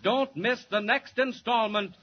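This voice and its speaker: A male announcer narrating a 1940s radio serial with dramatic, booming cliffhanger delivery. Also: measured pace, dull audio, background noise.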